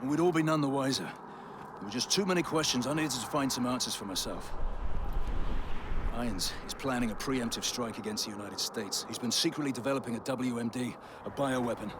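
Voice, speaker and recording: An actor playing a hardened, embittered elite soldier turned defector in a video game cutscene, terse and resolute. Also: male voice, mid-range voice, a little fast, background noise, close to an everyday voice. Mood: grave, confiding. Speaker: soldier, squad operative. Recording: loud vehicle and outdoor ambience.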